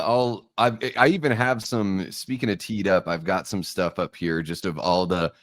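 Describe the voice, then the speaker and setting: A man's voice: low voice, no echo. A man co-hosting a casual podcast discussion, speaking conversationally. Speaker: podcast co-host.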